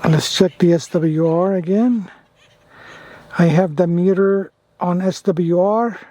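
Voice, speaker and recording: A man's voice: sits high, fairly even intonation, slow, mellow, slight background noise, rough recording. A man vlogging casually about amateur radio gear, talking enthusiastically and often repeating his phrases. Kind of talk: casual product demo and radio operating.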